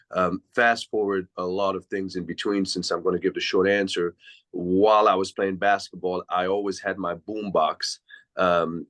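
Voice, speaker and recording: Man from switzerland, deep low voice, reflective, rambling podcast guest. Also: a bit bright. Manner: reflective, long winding answers. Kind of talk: casual interview storytelling.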